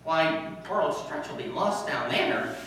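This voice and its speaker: A man playing an eager, anxious high school student, polite and boyishly complaining, in an old-time radio comedy. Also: expressive, measured pace, sharp tone. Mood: plaintive. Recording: very echoey, distant, noisy room recording.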